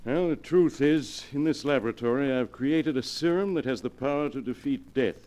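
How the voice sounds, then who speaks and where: An actor playing a courteous scientist in a 1940s radio drama, speaking formally in measured, well-chosen words. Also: male voice, mid-range voice, a little mellow, close to an everyday voice. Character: calm, polite scientist professor with a secret. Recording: vintage 1940s radio recording.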